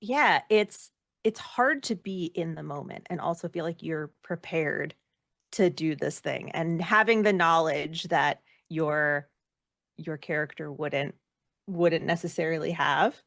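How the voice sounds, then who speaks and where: A woman speaking casually as an interview guest, reflective and self-deprecating, with an animated way of talking. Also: sits low, unhurried, gravelly, no echo, clean studio sound. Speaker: guest, actor and performer.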